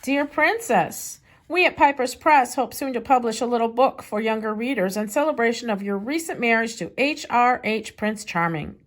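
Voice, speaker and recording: A woman's voice: on the high side, expressive, moderate pace, hardly any pauses, natural voice. A woman voicing a deferential, polite publisher, reading in a formal, courteous way from a storybook. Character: deferential, polite book publisher writing to royalty.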